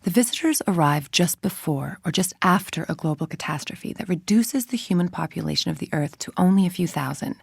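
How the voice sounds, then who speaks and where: A woman's voice: lowish voice, expressive, fairly quick, creaky, hardly any pauses, husky, no echo, clean studio sound, heavily acted. An actress playing a cold, calculating villainous woman scientist in a video game, speaking in a clinical, articulate, controlled and formal way. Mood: clinical, brooding. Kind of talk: scripted game voice-over, audio log monologue.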